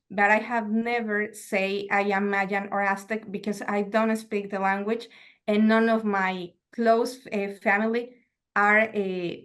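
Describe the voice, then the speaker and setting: Woman lecturer, calm reflective talk, mid pitch. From Mexico. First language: Spanish. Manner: measured, reflective, asks rhetorical questions. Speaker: university lecturer. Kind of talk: academic lecture.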